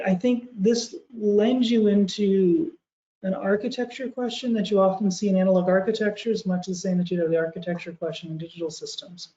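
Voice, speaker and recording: A woman's voice: mid-range voice, mellow. A woman panelist in a webinar debate, thinking aloud with frequent restarts over a video call. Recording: echoey, dull video call audio.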